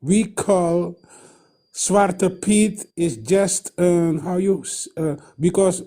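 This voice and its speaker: Slow, halting Dutch-speaking man. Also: high-pitched, dark tone. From Guyana. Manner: halting, searching for words, many fillers. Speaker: caller. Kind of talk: call-in radio chat.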